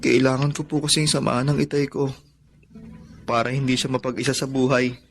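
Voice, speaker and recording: A man's voice: mid-range voice, flat delivery, fairly quick, gravelly, faint music behind, lightly acted. A Filipino man playing a devoted, long-suffering son in a radio drama, earnest, plaintive and respectful. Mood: earnest, resigned. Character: devoted, suffering, long-abused son who scavenges for junk.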